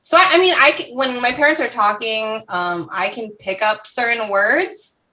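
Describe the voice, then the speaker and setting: A woman guest from the United States chatting casually in a podcast interview, laughing often and filling pauses with "um" and "like". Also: on the high side, sharp tone, low-quality recording.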